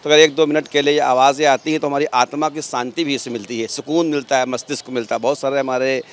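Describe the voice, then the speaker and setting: Earnest medium-pitched man in street interview. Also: fairly even intonation, quick pace. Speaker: local worshipper interviewed by TV news. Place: outdoors outside a mosque.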